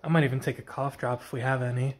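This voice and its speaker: A man's voice: medium pitch, fairly even intonation, quick pace. A young man chatting casually in a vlog, tired with a slightly scratchy voice, rambling and correcting himself as he goes. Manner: rambling, self-correcting, soft-spoken. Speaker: vlogger. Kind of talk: casual after-work vlog chat.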